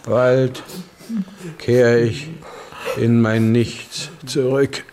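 An actor playing a stern, bitter old dying king in a German radio play, halting and commanding. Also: medium pitch, little pitch movement, darkish tone, slight background noise. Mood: stern, weary. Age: older. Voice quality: gravelly, breathy, weakened voice. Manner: halting, laboured, commanding delivery.

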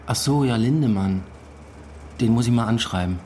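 A man's voice: mid-range voice, normal speed, darkish tone, a little hiss or hum, natural voice. An actor playing a searching, lovelorn young man adrift abroad, narrating a radio drama in a reflective, understated way. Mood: casual.

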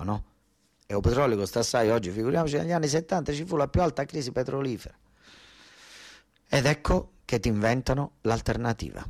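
Animated southern Italian male storyteller. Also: medium pitch. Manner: animated, emphatic, repeats words insistently. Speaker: solo stage actor and storyteller. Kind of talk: theatrical monologue in dialect-tinged, colloquial Italian.

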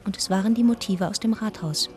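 An actress in a radio drama playing an inquisitive, fond deaconess who also narrates the story intimately. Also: female voice, medium pitch, brisk, darkish tone, natural voice. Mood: calm narration.

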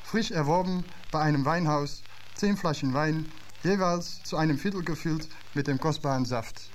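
Non-native German man, measured scripted reading, somewhat high pitch, faint hiss. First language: not German. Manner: measured, literary delivery with slightly non-native phrasing. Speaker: radio essayist. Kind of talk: scripted reading of an archive radio piece.

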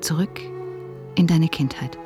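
A tender, longing woman in a radio drama. Her fairly low voice moves slowly and lyrically, at times hushed, over ambient new-age music. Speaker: actress in a radio play. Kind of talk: slow poetic monologue. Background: soft new-age music.

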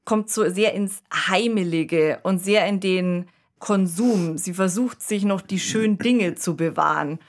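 A woman co-hosting an analytical conversation, explaining thoughtfully and checking in with short tag questions. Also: mid-range voice. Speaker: podcast co-host.

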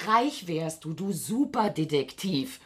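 A woman playing a scornful, embittered ex-partner, sarcastic and blunt with a husky voice, in a radio crime drama. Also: on the low side, sing-song, takes it slowly, fairly bright tone, exaggerated voice. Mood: mocking.

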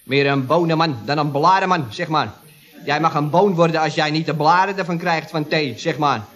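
An actor playing a cheeky, dim-witted young nephew who rambles unbothered, in 1970s Dutch radio comedy style. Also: male voice, a little high, fairly bright tone, natural voice. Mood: unbothered, muddled. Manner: muddled, drawn-out wordplay.

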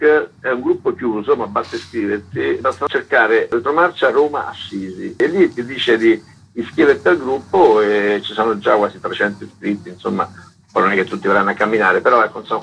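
A man's voice: on the low side, fairly quick, gravelly, a bit bright, a little hiss or hum, low-quality recording. A man explaining things informally as an interviewed organiser, in a rambling, colloquial way. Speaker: event organiser being interviewed.

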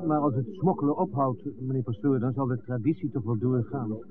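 Respectful, reasonable man, played by a male actor: mid-pitched, polite and reassuring, vintage radio play with thin sound and clear background noise. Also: fairly quick, close to an everyday voice. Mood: curious, reassuring. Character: reasonable, respectful man talking with village priest. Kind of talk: 1960s Dutch radio drama acting. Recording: tinny, noisy old radio recording.

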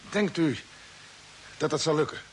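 A man acting in a radio play as a wary, earnest spaceship commander who asks probing questions in an expressive way. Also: a little high, unhurried, close to an everyday voice. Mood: alarmed, doubtful. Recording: hissy old radio broadcast, faint noise.

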